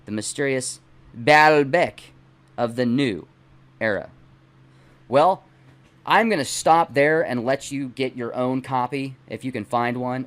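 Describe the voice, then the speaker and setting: A middle-aged man, not a native English speaker, hosting and reading aloud in deliberate, emphatic phrases with casual asides. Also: medium pitch. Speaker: host reading a book aloud and commenting. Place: small dim indoor home studio.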